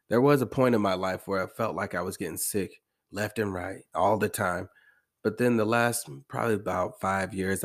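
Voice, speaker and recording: American man, lowish voice, congested and slightly hoarse, casual podcast host, conversational, emphatic repetition. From American Midwest. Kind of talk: casual motivational monologue.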